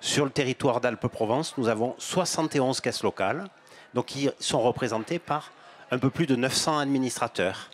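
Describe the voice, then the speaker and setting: Man, fifties, measured, hesitant fillers, handheld mic, faint ambience. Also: mid-range voice, slight background noise. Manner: measured, with frequent "euh" and repeated words; gestures broadly while talking. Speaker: bank executive guest. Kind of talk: explanatory interview answers. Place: outdoor stand at an agricultural fair, on a sofa. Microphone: handheld microphone.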